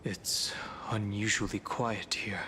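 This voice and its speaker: A serious young male adventurer in a video game, speaking low with a bright edge. He is cautious and hushed. Faint noise and ambience sit behind the game audio. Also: steady tone, slow, big-room sound. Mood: tense, hushed.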